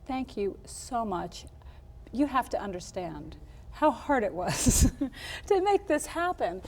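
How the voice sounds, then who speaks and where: A woman hosting a televised interview on a campus film set, lively and quick to laugh, often restarting her sentences. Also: on the high side. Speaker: TV interview show host.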